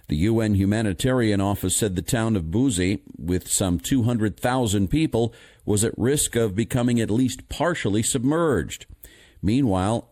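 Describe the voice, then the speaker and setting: A man reading scripted news stories in a measured, formal manner. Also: fairly low pitch, a little mellow, no echo. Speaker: news reader.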